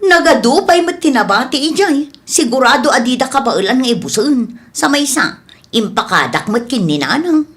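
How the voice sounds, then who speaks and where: Brazen Ilocano daughter, higher-pitched woman acting. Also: sing-song, moderate pace, fairly bright tone. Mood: brazen, dismissive. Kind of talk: Ilocano radio comedy drama acting.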